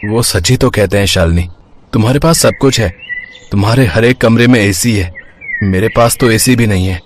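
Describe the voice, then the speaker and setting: A man's voice: lowish voice, normal speed, slight background noise, close to an everyday voice. A man voicing a poor, sensible young husband in a cartoon moral story, earnest and somewhat helpless as he explains himself. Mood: earnest, hesitant.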